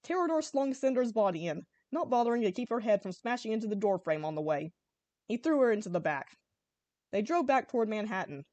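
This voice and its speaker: A woman narrating an amateur comic story in a playful, expressive way. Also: a little high, fairly quick, dry recording. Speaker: story reader.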